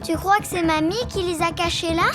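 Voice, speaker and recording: Tiny kid cartoon elephant girl, super high voice, eager n adventurous, loud music behind. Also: fairly quick. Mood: curious, playful. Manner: eager, childlike, expressive. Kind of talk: French children's cartoon acting.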